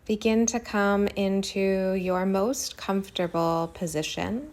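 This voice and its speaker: A woman leading a guided body-scan meditation in a soothing manner, speaking in short phrases with long pauses between them. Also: medium pitch, a little mellow. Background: singing bowls.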